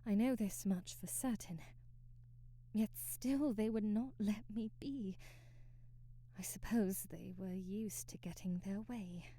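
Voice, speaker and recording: A woman's voice: medium pitch, slow pace, dark tone, no room sound. A woman playing a timid, devoted young maid in an ASMR roleplay, speaking formally and deferentially with hesitant stammers and period-drama phrasing. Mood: hesitant, hurt.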